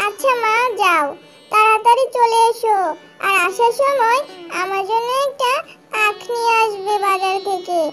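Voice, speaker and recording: A woman's voice: extremely high pitch, unhurried, a bit bright, faint music behind. A woman voicing a sweet, eager, clever little girl fox in a children's cartoon. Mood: eager, pleading.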